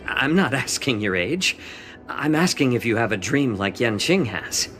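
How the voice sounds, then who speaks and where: A man voicing a gentle, genial healer in a game cutscene, smooth, unhurried and gently amused. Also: medium pitch, lively intonation, a little hiss or hum. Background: game score music.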